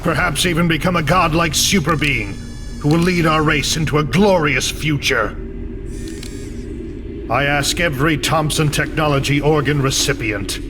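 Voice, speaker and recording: A man's voice: a little high, background noise, close to an everyday voice. A man playing a vengeful, coldly fanatical imprisoned scientist in a video game, speechifying in a grandiose, declamatory way. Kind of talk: dramatic video game cutscene acting. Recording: dramatic music bed under voice. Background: loud music.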